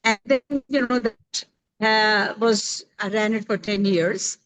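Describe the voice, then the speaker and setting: A middle-aged woman with an Indian accent speaking on a panel discussion, thoughtful and pausing often to search for words with many fillers. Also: mid-range voice, a bit bright, no room sound, low-quality recording. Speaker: roundtable panelist.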